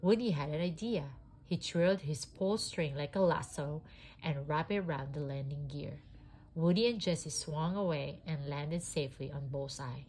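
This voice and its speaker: A sniffly woman reading a children's storybook aloud in an expressive, homemade style. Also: low voice, moderate pace, a little hiss or hum.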